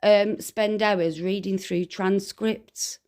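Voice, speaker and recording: A woman's voice: medium pitch, fairly even intonation, slow pace, a little mellow. A British woman on a phone call giving a conversational account of a grievance, hesitant yet earnest and insistent. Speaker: complainant on a call.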